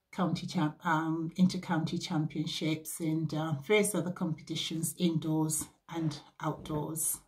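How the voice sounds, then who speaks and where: A woman in her sixties, originally from Barbados, recalling her years as an athlete in a measured, reflective way with frequent pauses and ums. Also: sits low, darkish tone, poor audio. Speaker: former athlete sharing memories. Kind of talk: reflective personal recollection.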